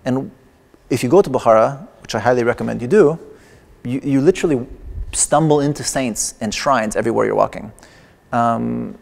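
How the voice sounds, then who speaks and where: Man, native Persian speaker, artist lecturing with dry digressive humor; gravelly texture, long hall reverb. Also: medium pitch, moderate pace, a little mellow. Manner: wry, digressive, with playful humor. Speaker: artist giving a lecture performance. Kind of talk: lecture performance. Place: large exhibition hall in a museum.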